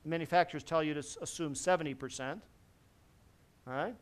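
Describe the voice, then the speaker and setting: Man, middle-aged, technical presenter, lively, quizzing audience, clear smooth voice, lapel mic. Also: on the high side, a little fast. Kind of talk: technical lecture to a seated audience. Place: large conference hall.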